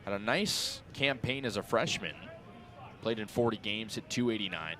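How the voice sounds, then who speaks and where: A man's voice: on the low side, normal speed. A young man doing live radio baseball play-by-play in short, clipped, descriptive phrases, his energy following the action on the field. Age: young adult. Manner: clipped descriptive phrasing, rising excitement on plays. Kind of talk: live radio sports commentary.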